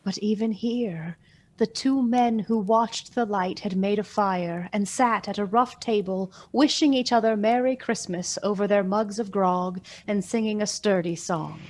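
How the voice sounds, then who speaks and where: A solemn storyteller played by a woman narrates a radio play at a middle pitch. Her scripted delivery is measured and expressive, suited to an old Christmas tale. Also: natural voice. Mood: dramatic storytelling.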